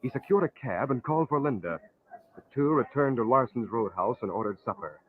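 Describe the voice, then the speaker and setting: A male narrator with a mid-pitched voice delivers scripted storytelling in golden age radio style. The sound is narrow and vintage, like an old broadcast. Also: lively intonation, brisk.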